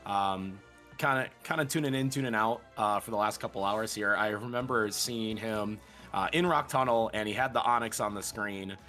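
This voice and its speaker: A man's voice: lowish voice, moderate pace, bright, forceful tone, faint music behind. A man hosting a livestreamed speedrun event, projecting like a broadcaster while fighting off a sickness. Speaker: host and commentator of a speedrun event. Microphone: own microphone at a desk.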